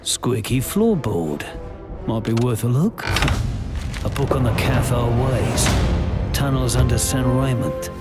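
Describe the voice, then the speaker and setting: An actor playing a gruff, wry wartime special agent with a British accent, laconic and understated in a video game. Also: male voice, mid-range voice. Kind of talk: low-key video game acting, muttered narration.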